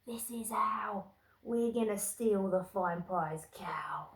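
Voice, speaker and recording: Woman playing hushed scheming farmyard thief, roomy sound. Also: high-pitched, steady tone, measured pace, vocal fry, voice pushed up. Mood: conspiratorial. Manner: hushed, conspiratorial. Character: two scheming male thieves plotting a farmyard heist.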